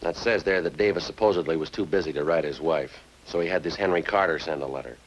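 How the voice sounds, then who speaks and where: A man's voice: very deep voice, fast, dull audio. An actor playing a methodical male police sergeant in a 1950s radio crime drama, clipped, understated and matter-of-fact. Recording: noisy old-time radio recording.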